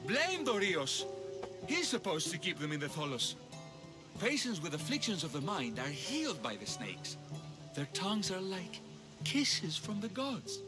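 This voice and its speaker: High-pitched, cheeky, panicky Greek temple priest. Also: male voice, unhurried, music in the background. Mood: explanatory, earnest. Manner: sarcastic, excitable, theatrical. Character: cheeky, panicky male sanctuary bathhouse priest in ancient Greece. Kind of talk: video game character acting.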